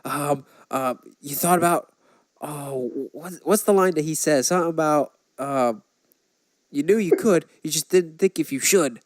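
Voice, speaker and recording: Higher-voiced man, casual podcast co-host. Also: a little slow, no room sound, studio quality. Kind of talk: casual chat about films.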